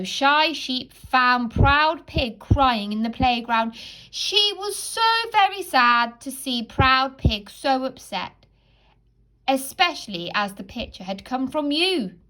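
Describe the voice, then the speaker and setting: A woman's voice: sits high, lively intonation, slow, bright, forceful tone, voice pushed up, exaggerated voice, low-quality recording. A woman voicing a wise old male owl who explains things kindly but sternly in a children's storybook. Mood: regretful, firm. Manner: emphatic, explaining, admonishing. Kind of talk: children's storybook read-aloud.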